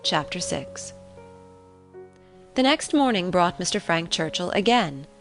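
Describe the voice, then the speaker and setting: Woman reading an old novel, kinda low voice, measured and formal, music under it. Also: expressive. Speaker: audiobook narrator. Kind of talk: scripted narration of a classic novel. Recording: relaxing music behind the voice.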